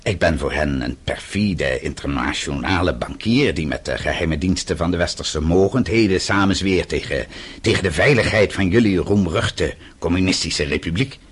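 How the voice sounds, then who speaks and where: An actor in a radio play voicing a bitter, defiant exiled banker who speaks with scornful, accusing emphasis. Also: male voice, deep voice, moderate pace, husky. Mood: bitter, challenging. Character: defiant, bitter exiled male banker, suspected political dissident.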